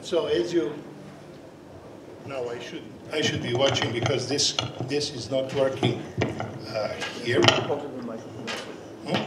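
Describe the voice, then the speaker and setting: A man with a Serbian accent, a native Serbian speaker, chairing and opening a conference session, halting with many fillers and restarts, on a portable mic. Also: medium pitch. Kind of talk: conference presentation. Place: conference hall. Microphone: portable microphone. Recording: echoey hall, from far off, noisy.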